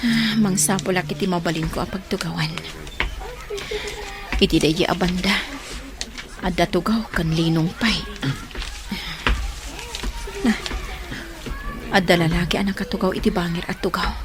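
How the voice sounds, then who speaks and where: A woman's voice: lowish voice, steady tone, moderate pace, long pauses, music in the background, natural voice. A woman with an Ilocano accent playing a frank, lovelorn single woman in her thirties in a radio drama. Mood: musing. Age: 30s.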